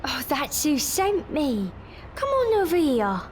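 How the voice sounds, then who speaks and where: A high-voiced, slow London thief girl. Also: big pitch swings, slight background noise, exaggerated voice. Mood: sugary, coaxing. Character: reluctant young London thief woman, soft-hearted, coaxing. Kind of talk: audio drama acting.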